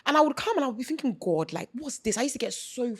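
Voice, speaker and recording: Brief: young London woman, podcast host, animated and chatty, Nigerian slang mixed in. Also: high voice, husky. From United Kingdom. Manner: animated, chatty, quick to agree. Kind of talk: casual podcast chat.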